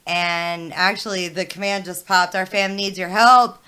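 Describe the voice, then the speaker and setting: A woman in her thirties hosts a loose livestream chat in a bright, lower-set voice. She talks in loose, rambling runs, swears freely and leans on "like" between laughs. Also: fairly even intonation, unhurried, smooth. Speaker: stream host.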